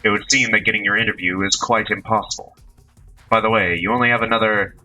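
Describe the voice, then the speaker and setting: A man voicing a tired, dry-mannered man who groans and speaks in a low flat voice. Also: quick pace, faint music behind, poor audio. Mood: weary.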